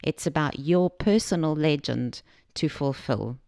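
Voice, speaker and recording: A woman from Zambia giving a scripted self-help talk as a podcast host, measured and explanatory, speaking directly to the listener. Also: deep voice, creaky, warm tone, no room sound, clean studio sound.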